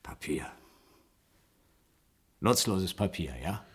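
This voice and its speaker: An actor playing an eager, disbelieving visitor in a radio play, insistent and urgent, with a husky voice. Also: male voice, low voice, animated, slow pace, full character voice. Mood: stunned, bitter. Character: eager, disbelieving male visitor, pleading and stunned. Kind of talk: German radio play acting.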